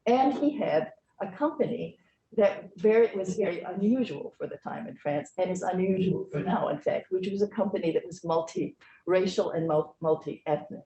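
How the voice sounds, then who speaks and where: A woman's voice: fairly low pitch, animated, moderate pace, mellow, reverberant, lo-fi sound. A woman professor moderating a panel conversation, explaining thoughtfully with some fillers.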